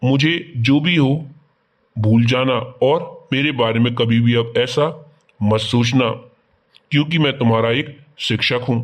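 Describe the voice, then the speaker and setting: A man's voice: medium pitch, a little slow, lightly acted. A man playing a principled schoolteacher, defensive but understanding, in scripted cartoon dialogue. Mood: understanding, firm.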